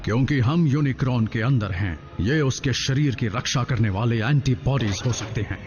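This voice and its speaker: A man voicing a noble, steady robot comrade in an action cartoon dub. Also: medium pitch, normal speed, noisy background, close to an everyday voice. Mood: explaining, alert. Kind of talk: Hindi-dubbed action cartoon acting. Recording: dull sound under loud music.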